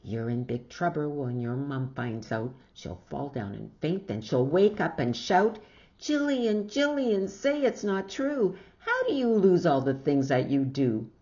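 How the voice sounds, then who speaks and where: A woman's voice: deep voice, big pitch swings, unhurried, heavily acted. A woman voicing a bossy, dramatic young child scolding a friend emphatically in a storybook reading. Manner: emphatic, mimics a shouting mother. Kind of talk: storybook read-aloud character voice. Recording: dull lo-fi recording.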